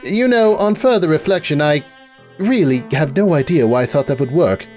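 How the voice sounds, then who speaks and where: A man's voice: fairly high pitch, lively intonation, normal speed, darkish tone, soft background music, voice pushed up, natural voice. A man voicing a prissy, squeamish lady unicorn who is theatrically and queasily polite in a fussy cartoon manner. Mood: flustered, queasy. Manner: fussy, flustered, stammering politeness.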